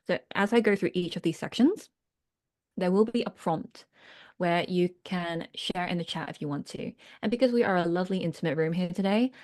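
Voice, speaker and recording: A woman with a slightly low voice leads a video-call workshop for business owners. She speaks earnestly and reflectively, pausing to check in with her listeners. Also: darkish tone. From United Kingdom. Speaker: workshop leader and business coach. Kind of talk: online workshop talk.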